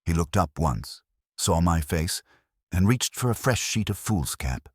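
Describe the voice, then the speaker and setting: A man with an exceptionally deep voice reads detective fiction as an audiobook narrator. His measured, literary delivery swings expressively in pitch, and the sound is dry and close. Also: expressive, husky, no echo. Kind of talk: scripted first-person detective story narration.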